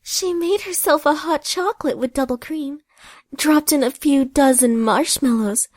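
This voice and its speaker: A woman's voice: high voice, a little slow, dry recording. A woman playing an arch, cheeky storyteller who narrates a hobby radio play with wry asides. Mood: wry, storytelling. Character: cheeky female storyteller who mocks the characters.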